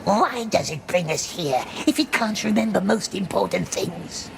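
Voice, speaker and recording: A small, wretched game creature with a split self croaks and mutters. His gravelly voice sits fairly high and lurches erratically across its range. Words come slowly and brokenly. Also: lively intonation, slight background noise, exaggerated voice. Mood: suspicious, puzzled. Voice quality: creaky, gravelly. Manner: erratic, drawn-out, muttering to himself in broken grammar. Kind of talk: video game character acting.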